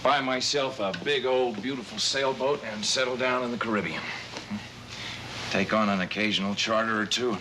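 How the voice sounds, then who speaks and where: A man's voice: medium pitch, moderate pace, noisy background, close to an everyday voice. An actor playing a bitter, wisecracking man in his forties who is fed up with his life, in a 1970s TV movie. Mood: wistful. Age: 40s. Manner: wisecracking, sarcastic, exasperated. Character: bitter, sardonic middle-aged man in therapy.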